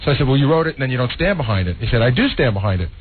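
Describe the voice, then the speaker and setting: Very fast-talking man, tinny radio. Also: mid-range voice, very animated, husky. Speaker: radio show staffer. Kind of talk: casual recounting on air. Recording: tinny narrowband old radio recording, faint hiss.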